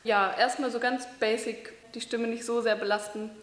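A young woman, a voice training student, explaining and demonstrating breathing and voice exercises in a large bright hall, pausing now and then with fillers. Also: fairly high pitch, level tone. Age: young adult. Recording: echoey hall.

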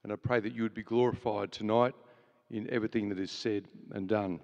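Earnest host in a big echoing hall. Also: male voice, lowish voice, steady tone, fairly quick, a little mellow, lo-fi sound. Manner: earnest, with frequent fillers and restarts. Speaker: event host. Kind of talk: welcome and opening prayer at a church event. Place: large hall with live audience. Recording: reverberant large hall.

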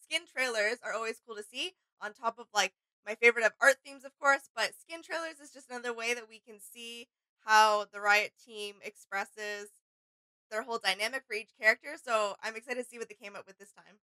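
A woman's voice: fairly high pitch, a little fast, pushed and bright, poor audio. A young woman co-hosting a reaction video, chatting casually as she comments. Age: young adult. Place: indoor home studio room.

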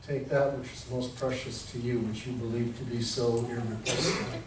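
An American man in his sixties preaching a sermon as a pastor, telling it conversationally in short, deliberate phrases. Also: medium pitch, level tone. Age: 60s. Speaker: Lutheran pastor. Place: church sanctuary in front of a congregation. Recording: very echoey church hall, distant mic, faint noise.

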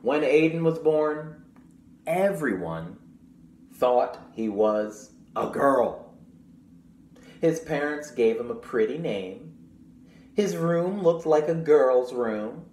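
A young man reading a picture book aloud to children, pausing to explain things simply and ask questions. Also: mid-range voice, expressive, darkish tone. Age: young adult. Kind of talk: storytime read-aloud with explanatory asides. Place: small room at home, indoors. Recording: roomy echo with clear background noise.

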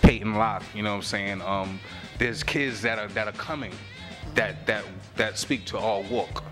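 A man's voice: fairly low pitch, fairly even intonation, moderate pace, over music. A middle-aged man, a rapper, talking earnestly and reflectively in a casual interview, with a lot of "you know what I'm saying". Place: lounge room on a leather couch. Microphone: handheld mic held by interviewer.

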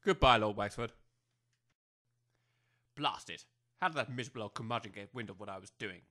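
A man playing a polite, beleaguered but sly gentleman businessman, well-spoken and placating, in a comedy audio drama. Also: on the low side, fairly quick. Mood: irritated.

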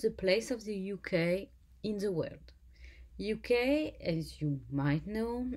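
A French woman with a French accent, explaining a topic to exam students as a legal English teacher, often pausing and restarting her sentences. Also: medium pitch, a little mellow. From France. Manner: halting, self-correcting, with frequent restarts. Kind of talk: lecture-style explainer over slides. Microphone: voice-over.

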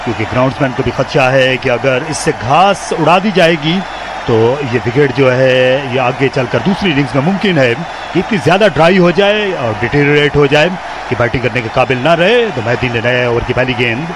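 A man with a Pakistani Urdu accent calls a cricket match ball by ball for radio, in measured, formal phrasing and a mid-range voice. The broadcast carries a steady layer of noise behind him. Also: mid-range voice.

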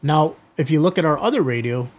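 A middle-aged man hosting a hobby video, giving a product demonstration to camera in a husky voice. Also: on the high side, expressive, brisk, slight background noise. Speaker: host of a ham radio video channel. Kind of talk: product test demo to camera. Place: small indoor studio room.

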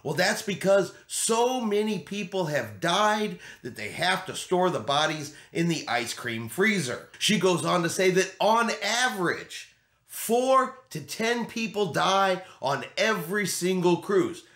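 A man's voice: high voice, animated, measured pace, fairly bright tone. Emphatic middle-aged man, roomy echo. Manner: emphatic, repeating key points. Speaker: vlog host. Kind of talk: explanatory commentary to camera. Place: small home studio room, indoors.

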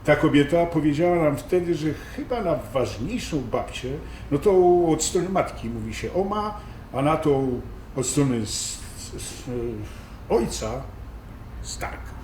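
A man's voice: fairly high pitch, expressive, a little slow, a little mellow. A man playing an easygoing, food-loving ageing musician, chatty and folksy, in an audio drama. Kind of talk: natural audio drama dialogue. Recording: echoey room with background noise.

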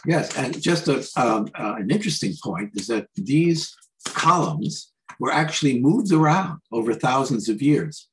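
Older man, halting art commentary. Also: medium pitch, animated, a little hiss or hum, low-quality recording. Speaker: artist presenting his paintings. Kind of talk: informal slide commentary on art. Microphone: video call.

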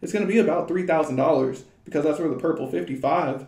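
A young man giving casual, rambling crypto chart commentary from a small room at home, his voice a little hoarse, with plenty of fillers and restarts. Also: on the high side, fast talker, a little mellow, roomy sound. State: slightly hoarse.

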